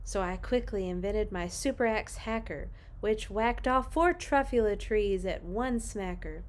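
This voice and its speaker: A woman hosting children's story time, reading a storybook aloud with deliberate dramatic emphasis. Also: mid-range voice. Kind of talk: expressive storybook read-aloud.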